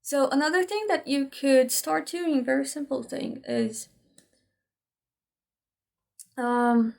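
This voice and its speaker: Woman, Portuguese native speaker, easygoing teacher tone, slightly high with gravelly fry. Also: takes it slowly. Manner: relaxed, chatty, frequent "right?" check-ins and light laughs. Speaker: energy work teacher. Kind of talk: casual instructional talk to camera.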